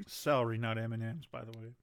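A man's voice: mid-range voice, fairly even intonation, fast, clear, resonant tone, dry recording. A middle-aged man co-hosting a casual podcast chat and joking around. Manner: joking, quotes movie lines in silly voices. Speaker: podcast co-host.

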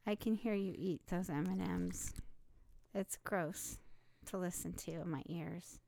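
A middle-aged woman co-hosting a casual podcast with a slightly raspy voice, chatting and laughing often. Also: fairly low pitch, a little slow, warm tone, slight background noise. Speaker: podcast co-host. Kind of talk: casual chat.

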